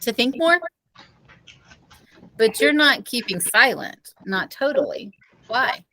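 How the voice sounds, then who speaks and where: Woman voicing female school principal, stern, curt, very varied pitch, children's audiobook, dry sound. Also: lively intonation, slow pace, bright, forceful tone, no echo, heavily acted, rough recording. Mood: stern, questioning.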